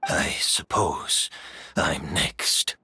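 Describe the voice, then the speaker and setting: An actor playing a weary, resigned older master in a video game, speaking softly and haltingly with gentle gravity. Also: male voice, rumbling low, slight background noise, heavily acted. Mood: resigned, sombre. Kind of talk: video game character acting. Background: faint music.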